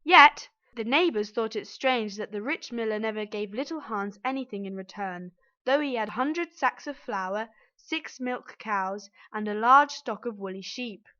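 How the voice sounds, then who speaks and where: A woman's voice: on the high side, unhurried, hardly any pauses, pushed and bright, lo-fi sound. A woman reading a scripted fairy tale aloud as the storyteller.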